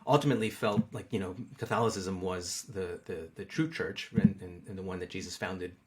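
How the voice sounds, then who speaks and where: A man's voice: deep voice, fairly quick. A middle-aged man talking casually as an interview guest, often repeating words as he searches for phrasing. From born in Peru, raised in the United States. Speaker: interview guest, market researcher.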